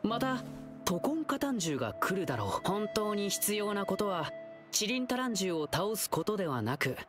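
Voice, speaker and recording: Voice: mid-range voice, very animated, fairly quick, natural voice. An actor voicing an earnest, friendly, boyish lion-eared young dancer in a Japanese anime game. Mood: earnest, reflective. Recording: game background music under voice.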